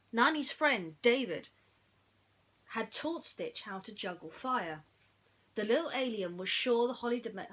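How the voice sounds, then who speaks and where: Woman, children's storyteller: very lively intonation, bright delivery, playful and theatrical with chatty asides. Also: mid-range voice. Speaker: children's bedtime story reader.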